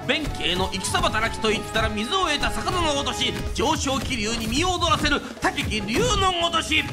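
High-pitched theatrical male storyteller. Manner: declamatory, theatrical, rhythmic. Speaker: kodan storyteller, narrator. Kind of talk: traditional kodan storytelling narration for radio drama. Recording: music under the voice.

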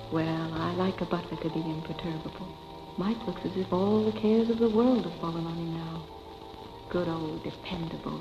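An actress playing a poised, wry young wife in 1940s film style, cool and arch in manner. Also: female voice, lowish voice, vocal fry. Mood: wry, musing. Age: young adult. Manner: cool, arch, composed irony. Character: wry, poised young wife attending her own funeral. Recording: dull old film soundtrack with music.

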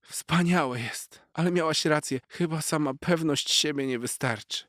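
A man's voice: fairly high pitch, a little slow, fairly bright tone, dry recording, studio quality, voice pushed up. A man voicing a very young male cat from a children's story, proud, boastful and easily offended. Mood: humbled, sheepish. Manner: boastful, indignant.

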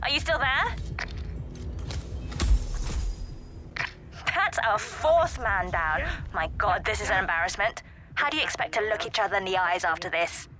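A woman's voice: mid-range voice, lively intonation, brisk, close to an everyday voice. An actress playing a stern, exasperated woman commander giving terse orders to agents in a video game. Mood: exasperated, alarmed. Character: stern female commander directing agents over radio, exasperated. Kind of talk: video game mission dialogue. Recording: loud game music behind.

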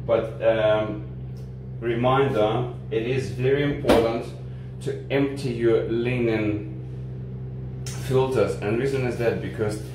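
A man in his forties giving a casual, unhurried how-to demonstration, with halting restarts, filmed on a phone at arm's length in a small laundry room. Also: lowish voice. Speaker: home appliance video maker. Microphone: phone camera on selfie stick at arm's length. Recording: echoey room with washing machine noise.

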